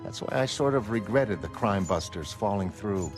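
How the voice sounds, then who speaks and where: An actor playing a mild, self-doubting middle-aged American retired crime fighter in a motion comic, talking in a hesitant, reflective way. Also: male voice, on the low side, animated, normal speed, music in the background, lightly acted. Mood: nostalgic, reflective. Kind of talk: dramatic motion comic character acting.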